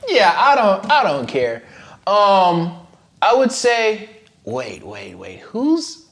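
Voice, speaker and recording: A man from the Bay Area, a content creator, in casual sit-down chat with slangy, laid-back banter and a voice that is not very deep. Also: wildly swooping pitch, takes it slowly, vocal fry, fairly bright tone, slight background noise. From San Francisco Bay Area.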